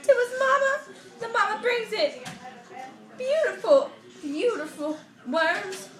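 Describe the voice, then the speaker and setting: A woman's voice: very high-pitched, sing-song, slow pace, a bit bright, echoey room. A woman doing a parody read-aloud of a children's picture book, rambling through ad-libbed asides in a theatrical, emotional way. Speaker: comedic storybook reader.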